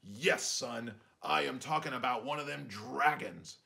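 A man's voice: mid-range voice, measured pace, vocal fry, bright, forceful tone, voice pushed up, exaggerated voice. A man voicing an agitated rustic rabbit father in a children's storybook, talking in folksy, ungrammatical country phrases. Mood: alarmed.

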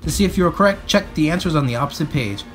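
A man reading a children's picture book aloud in an animated, expressive way. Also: on the high side, rapid-fire, faint music behind. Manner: expressive, acts out captions and speech bubbles.

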